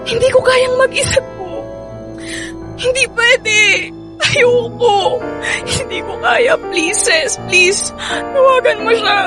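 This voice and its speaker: High-pitched, emotional Filipino pregnant woman, music behind. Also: slow. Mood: crying, pleading. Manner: emotional, insistent, repeats words and pleads. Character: pregnant young woman, betrayed by her partner, distraught and pleading. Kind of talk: Filipino radio drama acting. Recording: dramatic music bed under the voice.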